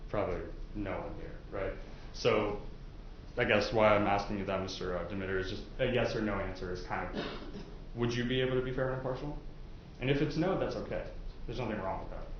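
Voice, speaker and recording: A man with a fairly low voice questions potential jurors in a conversational, explanatory style. The room echoes heavily, and the recording is muffled with faint noise behind it. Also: fairly quick. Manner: informal, explanatory, hedging with casual asides. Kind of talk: courtroom jury questioning, conversational. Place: indoor courtroom.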